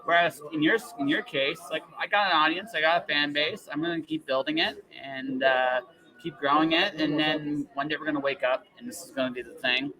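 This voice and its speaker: A man's voice: on the high side, steady tone, fairly quick, sharp tone, low-quality recording. A man hosting a casual, enthusiastic interview, rambling and repeating phrases for emphasis. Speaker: podcast host interviewing a guest.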